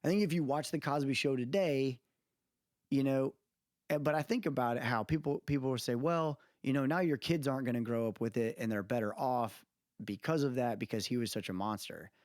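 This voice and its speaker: A man's voice: medium pitch, fairly quick, a little mellow, no echo. Man, podcast co-host, mid-range voice, conversational and emphatic, wandering delivery, very dry sound. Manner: rambling, emphatic, often checks with listener "you know what I mean". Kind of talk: casual opinionated podcast chat. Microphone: close mic on remote podcast call.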